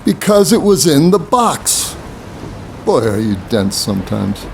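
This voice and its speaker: A man's voice: fairly high pitch, sing-song, unhurried, creaky, a little mellow, slight background noise, exaggerated voice. An actor playing a cryptic, impatient boatman in an audio drama, blunt and goading as he gives riddling counsel. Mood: scornful.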